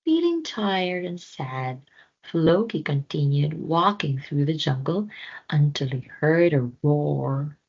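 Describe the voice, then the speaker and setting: A woman reading a children's story aloud with deliberate, expressive phrasing. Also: deep voice, breathy edge, muffled recording. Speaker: storybook reader. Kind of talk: children's read-aloud narration.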